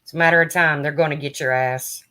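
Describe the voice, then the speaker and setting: A woman with a deep, raspy voice chatting casually on a live stream, answering viewers' comments by name as a true crime streamer. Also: fairly even intonation, quick pace, pushed and bright.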